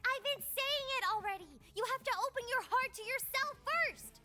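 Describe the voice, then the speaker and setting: A woman's voice: very high-pitched, animated, moderate pace, pushed and bright, exaggerated voice. A woman voicing an exasperated, preachy magical mentor companion in a visual novel, insistent in manner. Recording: music behind the voice.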